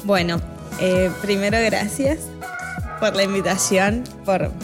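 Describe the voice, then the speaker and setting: A woman's voice: medium pitch, slow, in a large hall, over music. A woman in her twenties with a mixed Argentine and Chilean accent, chatting casually as a podcast guest. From born in Chile, raised in Argentina. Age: 20s. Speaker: podcast guest, missions student.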